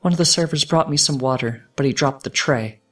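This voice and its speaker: A man's voice: mid-range voice, a little fast, distant mic, soft background music, voice pushed up, lightly acted. A man voicing a young female pony socialite in a fanfiction reading, hesitant and anguished behind a poised front. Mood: composed.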